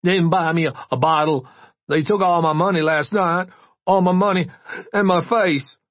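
A man's voice: mid-range voice, fairly even intonation, a little slow, husky, no echo, lo-fi sound, voice pushed up. A man voicing a drunken, despairing middle-aged father, slurring and tearfully pleading in self-pity.

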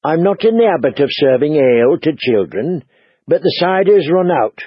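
A man's voice: on the high side, big pitch swings, normal speed, husky, no room sound, dull audio. A man voicing a stern, bossy middle-aged woman who runs an inn, talking in a blunt, commanding way.

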